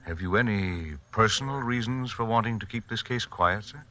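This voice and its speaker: A man's voice: on the low side, normal speed, bright, forceful tone. A man playing a courteous, inquisitive visiting doctor in a 1940s radio mystery, polite and a little hesitant. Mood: probing. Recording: faintly noisy old radio recording.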